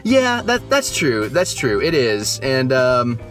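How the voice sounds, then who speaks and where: A man's voice: medium pitch, wildly swooping pitch, slow pace, fairly bright tone, faint music behind. Hesitant young man reviewing a film. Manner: hesitant, rambling, many fillers and restarts. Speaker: movie reviewer, channel host. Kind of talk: casual post-movie review chat. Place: just back from the theater, at home.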